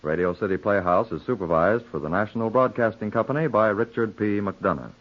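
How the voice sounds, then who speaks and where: A man's voice: on the low side, a little fast. A man announcing in a formal, scripted 1940s American network radio style. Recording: dull old 1940s radio broadcast.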